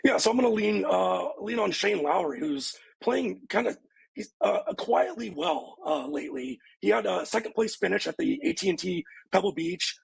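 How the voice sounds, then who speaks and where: A man's voice: high voice, animated, moderate pace, gravelly, breathy edge, no room sound, low-quality recording. A man giving golf betting picks in casual chat, laid back with lots of fillers.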